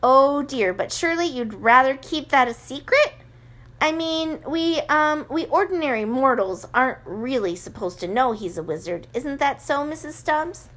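A flustered, fretting lady, put on by a woman reading aloud, pitched high and pushed loud. She flutters and repeats herself in a jittery storybook voice. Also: animated, moderate pace. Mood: worried.

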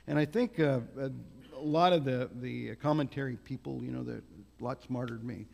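A man with a big voice preaches at a church. He talks loosely, with many fillers and restarts. His voice has a gravelly edge, and the hall rings with long reverb. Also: medium pitch, normal speed, a little mellow. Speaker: guest preacher. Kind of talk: sermon. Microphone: microphone on a sound system.

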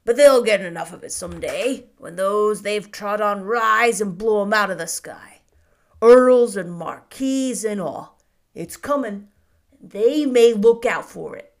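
A woman putting on a grumbling storybook shopkeeper, a middle-aged man who rails loudly and scornfully, dropping a little lower than her own voice and sounding set apart from the narration. He is a loud, bitter, stubborn type. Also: animated, takes it slowly, vocal fry. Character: gruff indignant middle-aged male shopkeeper.

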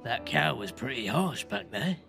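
A man's voice: medium pitch, expressive, moderate pace, creaky, music in the background. A man playing a kindly one-eyed otter stranger at a bar in an audio drama. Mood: sympathetic.

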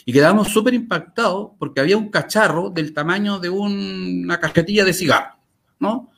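Higher-voiced Chilean man, emphatic and articulate. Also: brisk, creaky, a bit bright. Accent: Chilean Spanish. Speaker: interview guest. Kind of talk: conversational storytelling and commentary.

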